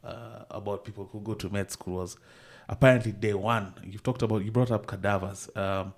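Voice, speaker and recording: A man's voice: lowish voice, steady tone, a little fast. A man hosting a podcast, asking curious, short prompting questions in a casual interview. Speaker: podcast host.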